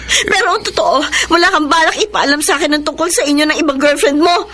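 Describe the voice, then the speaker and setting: A woman's voice: high-pitched, quick pace, pushed and bright. An actress playing a heartbroken young woman confronting her unfaithful boyfriend, tearful and pleading, in a radio drama. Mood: hurt, accusing. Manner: tearful, pleading, breaking into sobs. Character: heartbroken young woman betrayed by a cheating lover. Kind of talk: 1990s-style Filipino radio drama acting. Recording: radio drama with music underneath.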